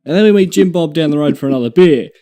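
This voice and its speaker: A man's voice: on the high side, animated, racing, mellow. A man, a podcast guest chatting casually, often laughing and swearing as he jokes. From Australia. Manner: laughing, profane, joking. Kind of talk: casual chat.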